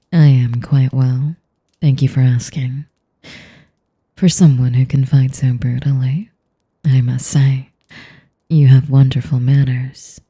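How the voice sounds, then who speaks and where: Woman doing a very low slow vampire lady, whispery n breathy, elegant, teasing, manipulative, audio roleplay. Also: mellow, no echo, studio quality, full character voice. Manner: slow, formal, teasing, savoring each phrase. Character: sadistic seductive vampire noblewoman, elegant and manipulative. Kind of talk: intimate audio roleplay addressed to the listener.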